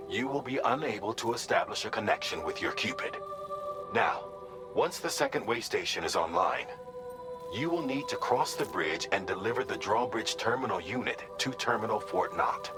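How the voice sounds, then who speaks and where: A man's voice: lowish voice, lightly acted. A man playing a composed military operations commander in a sci-fi game, giving an orderly, formal mission briefing.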